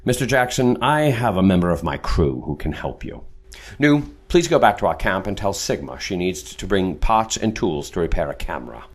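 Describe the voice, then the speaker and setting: An actor playing a self-assured, punctual leader of secret agents in an audio drama, formal and commanding. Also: male voice, deep voice, big pitch swings, moderate pace. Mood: calm, assured.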